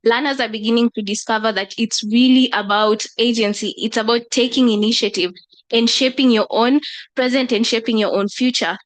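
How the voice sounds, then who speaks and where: A woman's voice: fairly high pitch, steady tone, fairly quick. Woman speaking as a school leader. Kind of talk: panel discussion answer.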